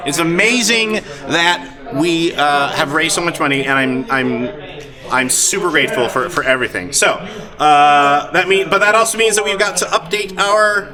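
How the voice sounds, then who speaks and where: A man hosting and game-mastering a live tabletop stream, narrating off the cuff in a halting, animated way. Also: on the high side. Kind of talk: improvised game narration and casual hosting talk. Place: busy convention hall, live on stage. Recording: loud convention crowd around the voice.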